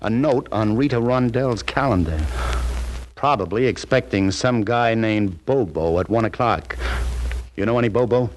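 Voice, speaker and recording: Guy doing a wisecracking cop from an old radio show, lowish voice, faint hiss under it. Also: moderate pace, natural voice, low-quality recording. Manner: blunt, needling, drawling questions. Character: tough, wisecracking male police lieutenant. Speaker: police lieutenant. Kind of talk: 1950s radio drama acting.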